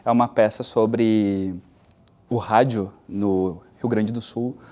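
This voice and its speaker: A man, an actor explaining haltingly in a casual interview. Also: on the low side, fairly even intonation, warm tone.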